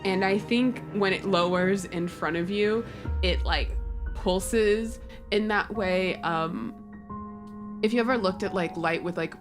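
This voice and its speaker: A woman's voice: mid-range voice, takes it slowly. A woman playing a tabletop game, chatting informally and describing things in a loose, casual way. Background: music behind the voice.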